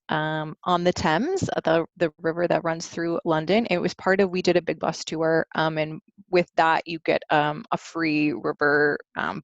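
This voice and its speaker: Woman, relaxed trip-leader presenter, lowish voice, conversational and hesitant, dry muffled call audio. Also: dry recording. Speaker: Girl Scout troop trip leader. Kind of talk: informal spoken presentation of travel photos.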